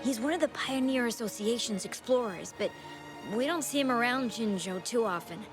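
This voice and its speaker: A woman's voice: fairly high pitch, normal speed, natural voice. An actress playing an eager, deferential young townswoman in a video game, hesitant and earnest. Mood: concerned. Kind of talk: scripted game dialogue. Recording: game music behind voice.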